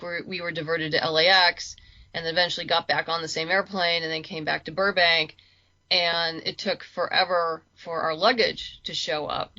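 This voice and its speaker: A woman talking casually as an interview guest, chatty and halting, often restarting her sentences. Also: fairly low pitch, sharp tone, no echo, lo-fi sound. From lives in Los Angeles. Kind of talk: casual conversational chat.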